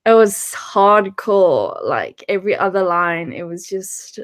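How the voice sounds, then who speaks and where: Woman cohost just chatting about books, normal pitch, kinda hesitant and trails off a bit. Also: big pitch swings, takes it slowly. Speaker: book podcast co-host. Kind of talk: casual book discussion chat.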